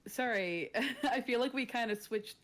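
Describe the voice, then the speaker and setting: Female game player. Somewhat high pitch, informal and apologetic conversational delivery. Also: unhurried, a bit bright. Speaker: player in a tabletop roleplaying game. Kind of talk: casual chat at a game session.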